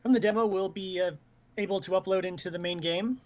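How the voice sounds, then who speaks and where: Podcast co-host for casual games talk, rapid speaker, muffled stream audio. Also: medium pitch, fairly even intonation, a little mellow. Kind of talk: casual gaming chat.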